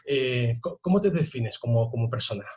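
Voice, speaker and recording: A man's voice: mid-range voice, lively intonation, normal speed, a little mellow. Guy interviewing someone, kinda dull lo-fi sound, mid voice, bit hesitant. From Spain. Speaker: interviewer, host. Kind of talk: semi-formal conversational interview.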